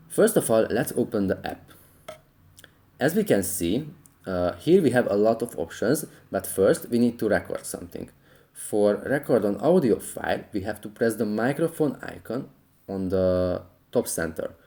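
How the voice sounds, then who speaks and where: A man's voice: lowish voice, mellow. A man hosting a how-to tutorial, narrating app instructions step by step. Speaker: tutorial host.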